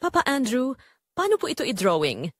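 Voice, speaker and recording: A woman's voice: high voice, wildly swooping pitch, fairly quick, fairly bright tone, no echo, studio quality, voice pushed up, heavily acted. A woman voicing an affectionate, innocent little girl in an audio drama. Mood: curious.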